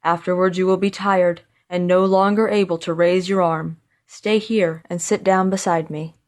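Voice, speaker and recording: A woman voicing a poor, careworn woodcutter father in a storybook, measured and gently admonishing. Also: lowish voice, fairly even intonation, close to an everyday voice. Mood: gently scolding. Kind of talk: storybook character voice.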